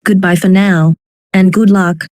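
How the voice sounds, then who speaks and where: A woman's voice: medium pitch, fairly even intonation, unhurried, darkish tone, no room sound. A woman reading a scripted, formal emergency broadcast announcement.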